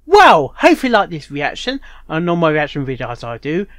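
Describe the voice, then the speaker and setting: Higher-pitched man giving halting commentary. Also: huge pitch swings, a bit bright, no echo, studio quality. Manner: halting, repeats and restarts phrases. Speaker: reaction channel host. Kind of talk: casual rambling commentary.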